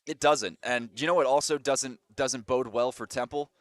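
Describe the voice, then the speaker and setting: Fast-calling male soccer play-by-play announcer. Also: steady tone, a bit bright, no echo. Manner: rapid running call that jumps up in pitch and volume on attacks. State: slightly hoarse. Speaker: play-by-play commentator. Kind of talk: live soccer play-by-play commentary.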